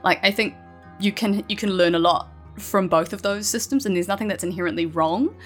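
A woman's voice: mid-range voice, a little fast, soft background music. A woman in casual, reflective discussion as a guest writer, feeling out her thoughts with tentative phrasing. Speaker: audio fiction writer, podcast guest.

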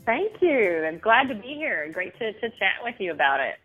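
A middle-aged woman with a Texan accent, a guest author speaking in a friendly, steady way about her work. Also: medium pitch, moderate pace, slightly rough, soft background music. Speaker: author and business owner, guest. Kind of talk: casual interview over the phone. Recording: tinny phone line.

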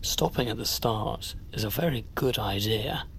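An actor playing a mild, eccentric uncle who muses philosophically in a gentle, halting way, in an audio drama. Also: male voice, lowish voice, creaky, a little hiss or hum. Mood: gently curious. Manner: gentle, halting, deliberate musing.

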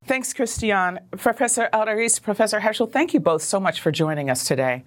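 A woman's voice: mid-range voice, expressive, brisk. A woman interviewer on a news program, asking thoughtful questions with some fillers and restarts.

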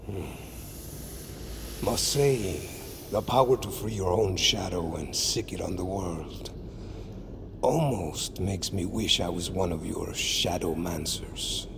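Dangerous henchman, slow and gravelly, wry, creepy audio drama stuff. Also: sits low, exaggerated voice. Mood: dark fascination. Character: dangerous, admiring male bodyguard to a sorcerer. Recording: noisy ambience behind.

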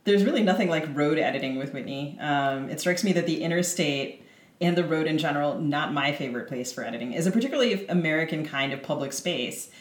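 A woman's voice: low-pitched, fairly quick. A woman co-hosting a podcast, giving prepared introductions and questions in an articulate way with dry wit. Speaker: podcast co-host. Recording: roomy, echoing sound.

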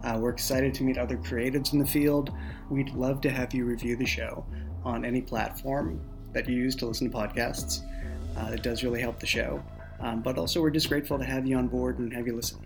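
A man's voice: mid-range voice, flat delivery, fairly quick, gravelly, music in the background. A man talking casually and with enthusiasm as a show creator on a podcast. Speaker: audio drama creator and writer.

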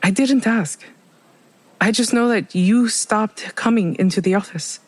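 A woman's voice: medium pitch, a little slow, darkish tone, natural voice. An actress playing a guilt-ridden, vengeful woman who speaks tersely and without repentance in an audio drama. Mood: grim, resigned.

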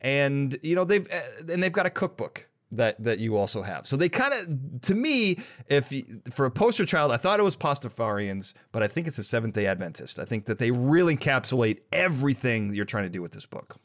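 A man's voice: mid-range voice, big pitch swings, fairly quick, few pauses. A man hosting a podcast interview, chatty and curious, thinking aloud with asides. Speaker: podcast host.

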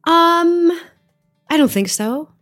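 A middle-aged woman with a low speaking voice, a theater actress telling stories as an interview guest, animated and quick to laugh. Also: soft background music. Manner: animated storyteller, laughs often, many fillers. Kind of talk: conversational interview storytelling.

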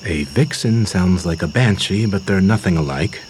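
An actor playing an aloof, cryptic spy boss who speaks in curt, enigmatic statements. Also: male voice, low-pitched, expressive, normal speed, a little mellow. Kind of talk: audio drama acting. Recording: night outdoors ambience, crickets, faint noise.

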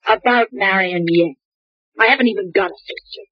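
An actress playing a sharp, guarded young woman in 1940s radio drama style, on an old dry radio recording. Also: mid-range voice, moderate pace, breathy edge, no echo, lo-fi sound, natural voice. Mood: tense.

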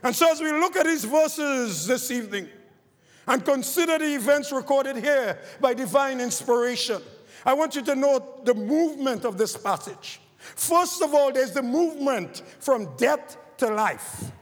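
Barbadian male preacher, very high voice, long hall reverb. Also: animated, a little slow. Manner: reads scripture quietly, then rises to fervent shouted preaching. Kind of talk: sermon.

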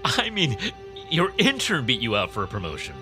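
An actor playing a smug, condescending male boss in an audio drama, suspicious and self-absorbed, with a halting way of talking. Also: mid-range voice, wildly swooping pitch, music in the background. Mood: giddy mockery. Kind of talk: naturalistic audio drama acting.

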